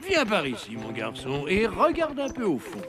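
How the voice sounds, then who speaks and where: A kindly old Father Christmas from a children's cartoon, a theatrical mentor. His voice sits high for a man and swings animatedly up and down, with an avuncular, explaining manner. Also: wildly swooping pitch, moderate pace, over music. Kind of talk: French children's cartoon acting.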